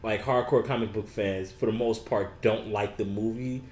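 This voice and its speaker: A man's voice: lowish voice, fairly even intonation, moderate pace, darkish tone. A man co-hosting a casual podcast chat, laying out his view in a laid-back, explanatory way. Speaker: podcast co-host. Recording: echoey room.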